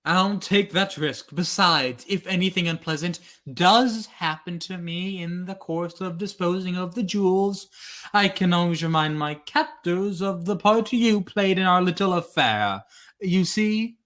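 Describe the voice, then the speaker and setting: Man playing a bright-voiced, arch radio-thriller thief. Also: high-pitched, measured pace. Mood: cool defiance. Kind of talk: 1940s radio thriller acting.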